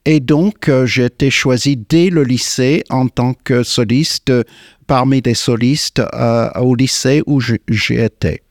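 An older American man, a singer and guest, answering in French in a slow, hesitant way with many fillers and restarts. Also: mid-range voice, fairly even intonation, darkish tone, dry recording, clean studio sound. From United States. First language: English. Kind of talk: radio interview. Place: radio studio.

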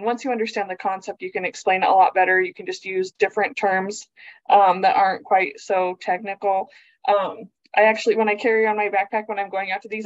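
A woman's voice: mid-range voice, no echo. A woman talks casually and upbeat about her program's site visits, with plenty of fillers. The recording is dry, with no room sound. The audio is dull and lo-fi. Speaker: seismic retrofit program staff. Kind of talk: panel discussion.

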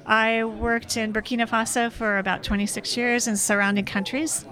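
A woman's voice: fairly high pitch, flat delivery, normal speed, sharp tone, poor audio. A woman ethnomusicologist describing her past work in a matter-of-fact way.

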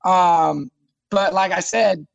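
A man's voice: sits high, steady tone, slow, vocal fry, dry recording, lo-fi sound. A man in his thirties, a fighter talking casually in an interview, eager and often repeating words as he starts a phrase. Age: 30s. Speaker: MMA fighter guest.

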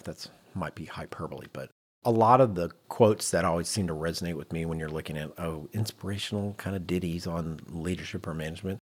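A man co-hosting a podcast, talking conversationally and explaining with emphasis and rhetorical repetition. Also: low-pitched. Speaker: podcast co-host. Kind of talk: conversational podcast discussion on leadership.

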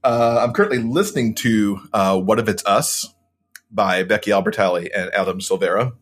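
A man's voice: fairly low pitch, moderate pace. A man talking as a guest author in a casual interview, telling stories and acting out his own past reactions.

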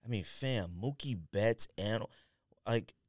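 A man's voice: lowish voice, slow, no echo, studio quality. A man co-hosting a casual sports podcast, talking emphatically in slang and repeating himself to press a point. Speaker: podcast co-host.

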